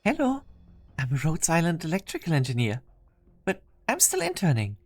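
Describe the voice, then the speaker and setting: A woman's voice: deep voice, wildly swooping pitch, normal speed, exaggerated voice. A woman voicing a polite, timid young male engineering intern in an amateur game fan dub, hesitant and courteous. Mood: shy, polite.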